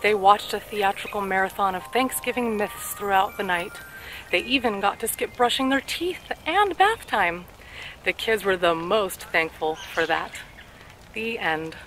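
Music plays clearly behind a woman reading a story aloud. Her voice sits at a medium pitch for a woman. She delivers the scripted text deliberately, articulating each word with care, as a storyteller for speech practice. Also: animated, fairly bright tone, rough recording. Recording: clear background music under the voice.

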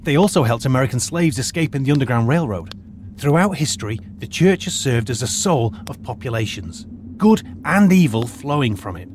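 A man's voice: mid-range voice, lively intonation, slight background noise, natural voice. A man playing a stern old teacher. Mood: lecturing. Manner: measured, accusing, lecturing. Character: stern retired archaeologist teacher, Mr. Clark. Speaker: high school teacher and retired archaeologist. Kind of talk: audio drama acting.

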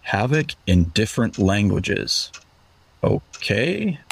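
A man playing a depressed, sardonic young man in an audio drama, muttering in a dry deadpan. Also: lowish voice, takes it slowly, vocal fry, breathy edge, full character voice. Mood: dry, skeptical.

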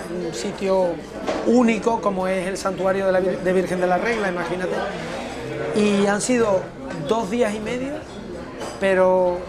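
Soft-spoken man chatting over a meal. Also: high voice. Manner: unhurried, reflective, repeats words as he searches. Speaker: interview guest, former footballer. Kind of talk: relaxed conversation over a meal. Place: restaurant by large windows.

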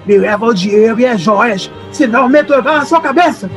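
An actor playing a menacing, bossy gang leader in an audio drama, barking slangy commands. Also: male voice, very high-pitched, animated, fairly quick, a bit bright, soft background music, full character voice. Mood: barking threat. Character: bossy menacing young male gang leader, armed robber.

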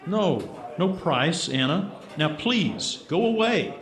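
An actor playing an earnest, stubborn writer in a radio drama, plain-spoken and terse. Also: male voice, sits high, animated, slow, gravelly, a bit bright, full character voice. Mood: resigned, terse. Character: earnest, stubborn American writer, narrating his own story. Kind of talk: radio drama acting and first-person narration.

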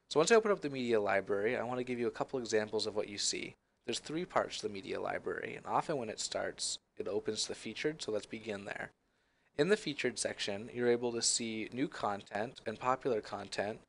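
A young man presenting a screen-recorded software tutorial, explaining each step in a friendly, conversational way. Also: mid-range voice.

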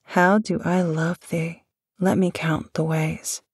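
A woman with a lowish, breathy, husky voice speaks slowly and gently into a close microphone. She sounds affectionate and dryly amused. The recording is dry and intimate. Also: little pitch movement, dry recording. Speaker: voice actor. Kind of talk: intimate audio roleplay.

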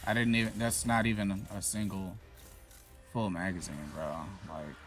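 A man's voice: fairly low pitch, moderate pace. A man casually commentating his gameplay, frustrated and swearing at the boss. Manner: frustrated, profane, talks to himself. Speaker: gaming YouTuber. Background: game music.

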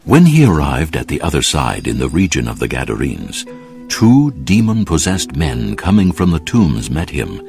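A man giving scripted narration for a dramatised audio Bible in a measured, solemn storytelling manner. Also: very deep voice, huge pitch swings, gravelly, darkish tone, faint music behind.

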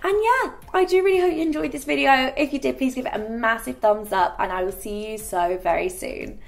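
A woman's voice: high voice, brisk, gravelly. A young woman, chatting casually in a get-ready-with-me vlog and filling her talk with "like". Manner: chatty, filler-heavy with frequent "like". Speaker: beauty vlogger. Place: bright living room set.

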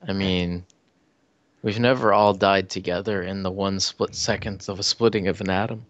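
A man's voice: sits low, expressive, a little slow, vocal fry, long pauses, fairly bright tone, highs cut off. A man playing a tabletop game over a video call, with dry, sardonic humor. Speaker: player. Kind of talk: casual tabletop game chat.

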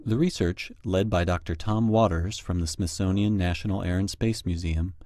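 A man's voice: deep voice, steady tone, moderate pace, noisy background. A man reading scripted documentary narration about research.

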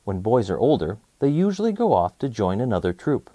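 Animated man reading aloud to children. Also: lowish voice, a little fast, no room sound. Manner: animated, clearly enunciated storytelling for young listeners. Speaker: read-aloud host for children. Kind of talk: expressive read-aloud of a children's nonfiction book.

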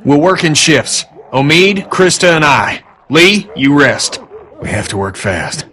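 An actor playing a hard-headed, pragmatic survivor in a zombie video game, blunt and insistent. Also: male voice, a little high, sing-song, measured pace, pushed and bright, exaggerated voice. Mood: subdued, determined. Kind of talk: dramatic video game acting.

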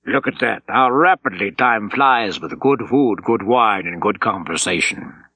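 Man voicing an urbane, husky German officer. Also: on the low side, a little slow, pushed and bright, no room sound, exaggerated voice. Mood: genial. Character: urbane German officer, genial dinner guest. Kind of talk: audiobook character voice.

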